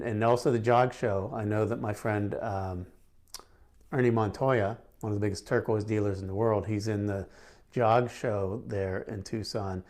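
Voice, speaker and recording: A man's voice: fairly low pitch, darkish tone. A middle-aged man hosting a casual question-and-answer lesson on gemstones, friendly and chatty with frequent fillers and restarts. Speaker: gemstone instructor and host. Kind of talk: casual Q&A to camera.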